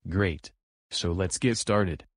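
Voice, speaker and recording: A man's voice: low-pitched, dry recording, studio quality. A man voicing a big friendly purple cartoon dinosaur who guides young viewers with simple, encouraging words. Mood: cheerful, encouraging. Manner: slow, simple, encouraging, goofy hums. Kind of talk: children's show dialogue, guiding young viewers.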